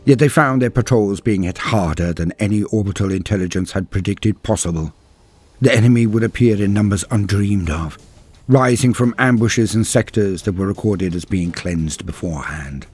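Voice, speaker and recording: A man narrating scripted science fiction lore in a grave, measured storyteller's manner with formal wording. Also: on the low side, darkish tone, slightly rough. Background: background music.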